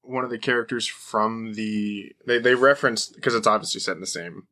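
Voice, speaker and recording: A man's voice: lowish voice, normal speed, bright, forceful tone. A man co-hosting a podcast, chatting casually in a slangy, jokey, sweary way. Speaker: podcast co-host.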